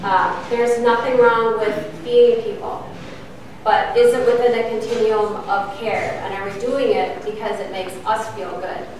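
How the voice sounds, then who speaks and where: A woman's voice: a little high, steady tone, measured pace, a little mellow. A middle-aged woman leading outreach ministry, answering questions at a church forum in an earnest, explaining way with frequent fillers. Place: church meeting room. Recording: echoey room, distant mic, faint noise.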